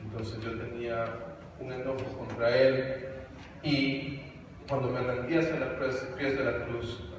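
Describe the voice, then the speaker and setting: A young man raised in Canada giving a halting testimony to a church congregation, full of fillers and restarts. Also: mid-range voice, flat delivery. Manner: hesitant, many fillers and restarts. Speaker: guest speaker on evangelism. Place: large church hall. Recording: echoey hall from far off, noisy.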